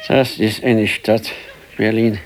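An actor playing an elderly working-class husband with a Bavarian accent in a naturalistic radio play, curt, dry and grumbling. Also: male voice, lowish voice, steady tone, takes it slowly, faint music behind. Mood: reminiscing.

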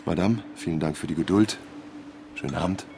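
A man's voice: low voice, a little fast, creaky, very noisy. An actor playing a drunk, jealous man who taunts his rival with mocking, theatrical politeness in a radio drama. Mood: scornful.

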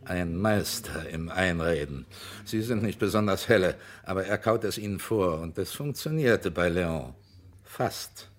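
A persistent, stern investigator in a radio crime play. His voice sits low, and he speaks formally and deliberately, always insisting. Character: stern, persistent male investigator pressing a witness. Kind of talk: German radio crime drama acting.

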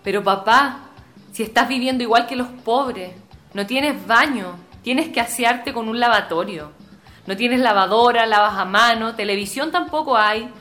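A woman's voice: a little high, normal speed, bright, forceful tone, faint music behind. A woman playing an earnest, insistent, status-conscious professional daughter with a Chilean accent, in a radio drama. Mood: exasperated.

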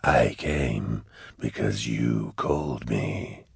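A hovering demon, speaks in an extremely deep, whispered rumble. He drags each phrase out very slowly, sly and mock-friendly, insinuating menace in a storybook horror tale. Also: vocal fry, slightly rough, dry recording, studio quality, full character voice. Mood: soft menace. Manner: slow, drawn-out, insinuating whispery delivery. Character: hovering male demon host, huge-voiced, mockingly friendly and sly.

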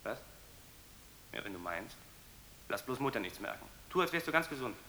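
An actor playing a casual, teasing brother in a radio play. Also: male voice, medium pitch, big pitch swings, normal speed, pushed and bright, slight background noise. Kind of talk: German radio play acting. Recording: long reverb.

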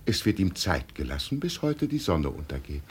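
Deluded old peasant emperor, wildly swooping. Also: male voice, deep voice, big pitch swings, moderate pace. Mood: solemn, insistent. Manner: solemn, insistent, grandly proclaiming. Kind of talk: 1950s German radio drama acting. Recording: noisy old 1950s radio recording.

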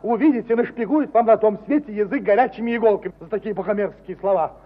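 An actor in a mid-century radio play playing a touchy, pompous provincial gentleman who speaks in an ornate, indignant manner. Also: high-pitched, fast talker. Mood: heated. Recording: dull 1950 radio recording.

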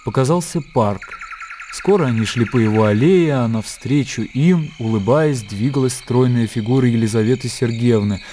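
A man's voice: medium pitch, moderate pace, warm tone, lightly acted. A man narrating a classic story in a Russian radio play, composed and literary in delivery. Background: music.

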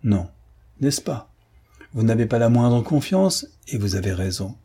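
A man voicing a shrewd, ironic gentleman adventurer in a dramatic audiobook, mock-courteous and amused. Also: medium pitch, very animated, a little slow, breathy edge, heavily acted. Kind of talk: dramatic audiobook character reading.

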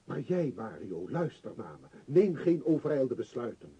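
An actor playing an earnest, self-righteous shopkeeper and family man in a radio play, with a dark, husky voice and insistent manner. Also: mid-range voice, very animated, normal speed, muffled recording. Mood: indignant. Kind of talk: Dutch radio play acting.

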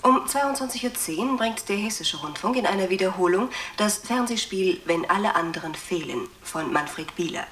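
A woman's voice: medium pitch, animated, moderate pace, few pauses, fairly bright tone, natural voice. A woman playing a courteous, composed television announcer reading out the evening's programme in a formal scripted style. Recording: roomy, distant, faint noise.

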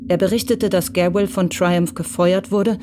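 A woman narrates a crime radio drama in a calm, measured style. Her voice sits a little low, and music plays under the studio recording.

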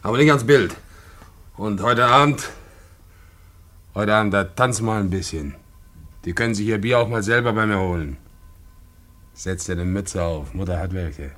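A man's voice: lowish voice, moderate pace, long pauses, a bit bright, natural voice. An actor playing a brusque middle-aged Berlin tavern keeper and father in a German radio drama, speaking in blunt short commands. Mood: conciliatory, gruff. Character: gruff working-class Berlin tavern keeper, father, communist.

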